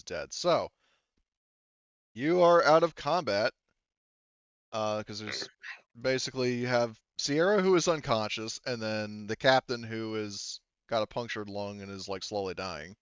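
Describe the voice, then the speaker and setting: Male game master, lowish clear voice, casual rambling narration, informal, dry slightly muffled recording. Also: normal speed, dry recording. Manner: informal, rambling, often swearing.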